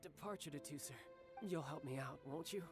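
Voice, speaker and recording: Male VO: playful, self-assured young warrior, slightly high voice; clear score behind. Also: a little fast, close to an everyday voice. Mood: teasing. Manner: smooth, teasing, self-assured. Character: charming young male fighter, playful and cocky. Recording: game audio with music behind.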